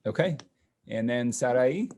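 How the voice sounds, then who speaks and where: A man hosting an online session, calling on participants one by one in a relaxed, casual way with many fillers. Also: mid-range voice, measured pace, noisy background. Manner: relaxed, friendly, lots of fillers. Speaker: host of online class session. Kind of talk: casual introductions on a video call. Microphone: video call.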